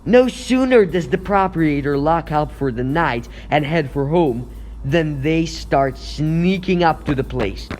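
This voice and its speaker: A man's voice: a little high, lively intonation, a little slow, noisy background. A man playing a wisecracking young superhero in a comic book dub, wry and self-assured. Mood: wry, watchful. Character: wisecracking young male superhero, cocky and watchful.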